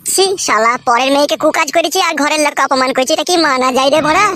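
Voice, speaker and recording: A woman's voice: sits high, fast, a bit bright, no room sound, studio quality. A woman voicing a defiant, crude young son in a comedy cartoon, arguing sulkily. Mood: sulky, argumentative. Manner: sulky, argumentative, swearing.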